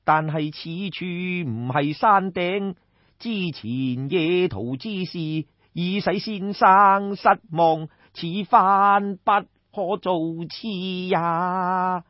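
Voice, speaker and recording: A man with a Cantonese accent voicing a grave, pedantic ancient scholar who speaks in slow, drawn-out formal phrases for a storybook tale. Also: sits high, no room sound, muffled recording. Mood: worried.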